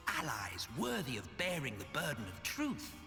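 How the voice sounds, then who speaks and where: An actor playing a condescending, weary immortal villain in a video game, with pompous, sardonic, drawling delivery. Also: male voice, mid-range voice, wildly swooping pitch, normal speed, vocal fry, bright, forceful tone, full character voice. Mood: disdainful, weary. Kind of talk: dramatic video game voice acting. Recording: long hall reverb, music behind.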